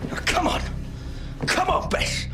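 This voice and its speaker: An actor playing an anxious, by-the-book young British tank driver in a dramatic video game, earnest and exasperated. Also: male voice, a little slow, close to an everyday voice. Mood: desperate, furious. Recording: voice under loud music. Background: loud music.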